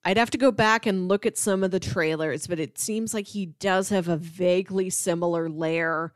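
A woman's voice: on the low side, steady tone, moderate pace, dry recording. Casual woman podcaster, close dry sound. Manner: informal, peppers speech with "like". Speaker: podcast co-host. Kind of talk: casual movie discussion.

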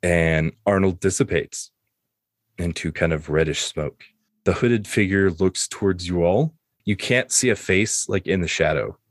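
A man's voice: low-pitched, a little slow, no room sound, clean studio sound. A man narrating as game master, improvising the scenes of a tabletop roleplaying game.

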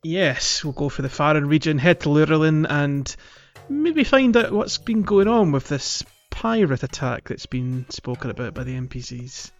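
A man's voice: a little high, sing-song, normal speed, few pauses, highs cut off, soft background music. A man hosting a casual gaming podcast, chatty and steering the conversation. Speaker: podcast host.

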